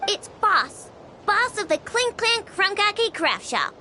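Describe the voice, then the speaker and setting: A woman's voice: very high-pitched, sing-song, slow pace, sharp tone, slight background noise, exaggerated voice. A woman voicing a small, bossy girl inventor in an anime-style game, blunt and self-important but kind underneath. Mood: proud, generous.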